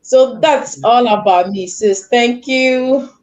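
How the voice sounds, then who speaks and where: A woman's voice: a little high, takes it slowly, a little mellow. A woman from Ghana, a nurse, introducing herself politely as a guest on a live stream.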